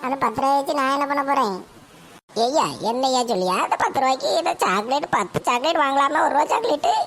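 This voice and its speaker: A woman's voice: high-pitched, expressive, fast, fairly bright tone, slight background noise. A woman voicing a nosy, bickering old grandmother, fussing and scolding. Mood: coaxing. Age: older.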